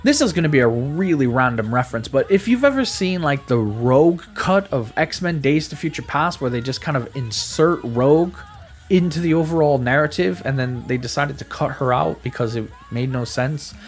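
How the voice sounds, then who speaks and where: A man's voice: medium pitch, lively intonation, moderate pace. A man giving a casual, conversational video game review, speaking emphatically and often stammering or repeating his words.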